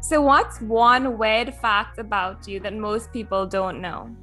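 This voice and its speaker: A woman host asking quick interview questions with enthusiastic reactions. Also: mid-range voice, fairly even intonation, pushed and bright, faint music behind.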